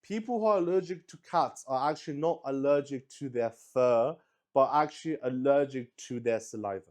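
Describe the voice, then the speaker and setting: A man's voice: mid-range voice, moderate pace, a little mellow. A man hosting a casual livestream, thinking aloud and rambling in a hesitant way. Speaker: streamer hosting a solo tabletop roleplay stream. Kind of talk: casual improvised livestream chat.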